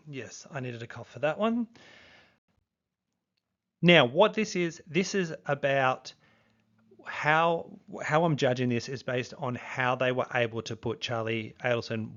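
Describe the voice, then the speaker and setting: A man's voice: mid-range voice, a little slow, a bit bright, lo-fi sound. Youthful-sounding fifty-year-old man, rambling. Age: 50s. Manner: rambling, many fillers and restarts. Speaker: true crime YouTuber. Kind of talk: casual video commentary.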